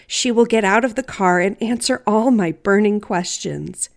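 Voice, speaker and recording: A woman storyteller reading a personal story aloud in a deliberate, theatrical, wryly humorous way. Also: medium pitch, vocal fry. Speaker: writer and storyteller.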